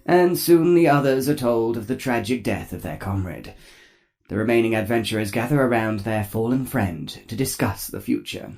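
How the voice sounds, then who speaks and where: A man's voice: lowish voice, moderate pace, vocal fry, a little mellow. A man narrating an audio drama in a grave, scripted storytelling tone. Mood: solemn.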